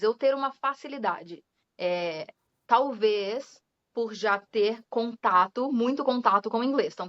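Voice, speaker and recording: A Brazilian woman, an English teacher, chatting casually in an interview with a Brazilian accent, laughing often and slipping English words into her speech. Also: a little high. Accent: Brazilian Portuguese. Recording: dull lo-fi sound.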